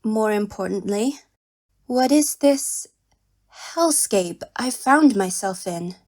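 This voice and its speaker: A woman's voice: medium pitch, lively intonation, slow, a little mellow. A woman voicing a proud, stern cat princess with a formal, commanding manner in a dramatic audio story. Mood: stern, guarded. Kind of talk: dramatic audio fan-fiction character acting.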